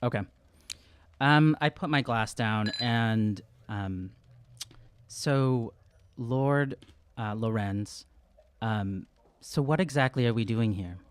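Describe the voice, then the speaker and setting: A man's voice: mid-range voice, slow pace, pauses often, smooth. A young man playing a tabletop roleplaying game, casual and hesitant as he thinks aloud, on a close studio mic. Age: young adult. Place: small indoor studio room. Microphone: close studio podcast mic with windscreen.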